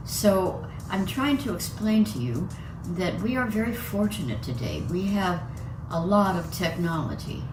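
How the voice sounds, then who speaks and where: A woman's voice: fairly low pitch, sing-song, measured pace, roomy sound, far from the mic, background noise. An older woman from the United States, giving a chatty, personal lecture at a symposium and laughing between her points. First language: English. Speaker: conference speaker. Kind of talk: lecture talk.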